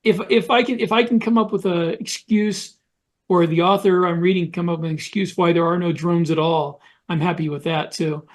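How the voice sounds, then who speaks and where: High-voiced man chatting on a podcast. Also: fairly even intonation, moderate pace. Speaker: podcast panelist. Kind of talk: casual podcast discussion.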